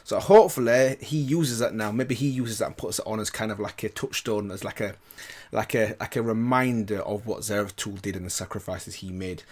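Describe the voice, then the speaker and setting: A man giving a casual, excitable reaction commentary, often repeating short phrases. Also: fairly low pitch, fairly quick, few pauses. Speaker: reactor reviewing game cutscenes. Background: faint game music.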